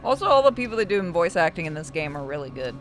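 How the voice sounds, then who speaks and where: A woman's voice: lowish voice, very animated, fast talker, soft background music. A woman giving casual, blunt, bantering gameplay commentary as a streamer. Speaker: game streamer.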